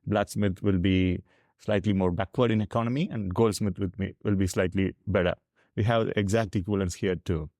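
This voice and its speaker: A South Indian man, a native Tamil speaker with the accent to match, talks in a fairly deep voice. He explains his views earnestly and at length, in candid conversational style. The sound is dry, with little room in it. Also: steady tone, moderate pace, darkish tone, no echo. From Tamil Nadu, South India. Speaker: podcast guest.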